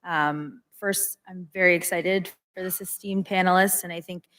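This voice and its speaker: A woman's voice: lowish voice, steady tone, normal speed, a bit bright. A woman moderating a conference panel, politely thanking the hosts and guiding the introductions. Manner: gracious and polite. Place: conference hall.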